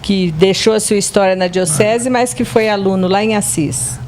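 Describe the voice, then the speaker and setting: A woman's voice: medium pitch, normal speed, a little mellow, a little hiss or hum. A woman interviewing an elderly priest, asking polite and respectful questions.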